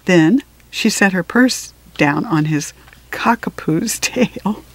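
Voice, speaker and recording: A woman reading a children's picture book aloud with expressive phrasing and deliberate pauses. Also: on the low side, no echo. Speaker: storybook reader.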